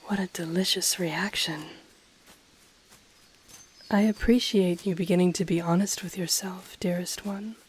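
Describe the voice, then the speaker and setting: A woman voicing an ancient dragon familiar, possessive, teasing and tender, speaking softly and formally in an intimate ASMR roleplay. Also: on the low side, steady tone. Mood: sincere, tender. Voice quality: soft, breathy, often whispering. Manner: slow, formal, teasing, purring delivery.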